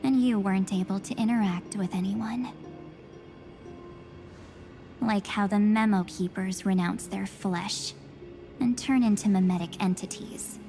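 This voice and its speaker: A woman voicing an enigmatic young female memory spirit in a video game, coaxing and softly menacing, with slow, breathy delivery. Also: medium pitch, music in the background, natural voice. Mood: grave, eerie. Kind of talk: scripted video game dialogue.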